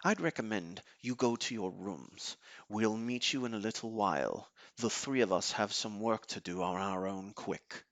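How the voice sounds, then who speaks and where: A man playing a commanding, fatherly leader of a superhuman team in an amateur audio drama, speaking in a measured, explanatory way. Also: on the low side, lively intonation, a bit bright, dry recording. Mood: calm, instructive.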